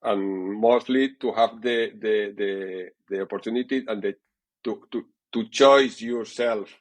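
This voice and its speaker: Halting catalan-accented man. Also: lowish voice. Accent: Catalan Spanish. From Catalonia, Spain. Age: middle-aged. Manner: halting, many fillers and repeated words. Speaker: TV sports director, guest. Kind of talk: interview answers.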